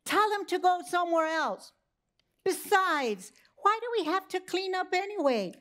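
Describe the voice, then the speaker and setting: A woman's voice: very high voice, lively intonation, measured pace, a bit bright, voice pushed up, heavily acted. A woman voicing a mother duck from a children's storybook, with quacking, plain-spoken lines. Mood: indignant. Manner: quacking, complaining. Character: grumpy mother duck, protective of her home.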